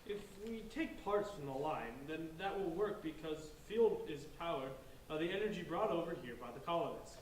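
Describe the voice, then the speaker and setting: Man playing wordy young know-it-all, stage drama, fairly high pitch, roomy distant recording. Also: expressive, moderate pace, close to an everyday voice. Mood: earnest, explaining. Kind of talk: staged reading of a play. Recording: echoey, distant stage recording, faint noise.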